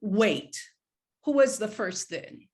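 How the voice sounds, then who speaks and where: A woman's voice: medium pitch, big pitch swings, slow, darkish tone. Woman playing a guilt-tripping retired mother. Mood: playful. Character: retired professor mother, sixty-five, guilt-tripping, warm but wounded. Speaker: actor in a script read. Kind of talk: live screenplay table read.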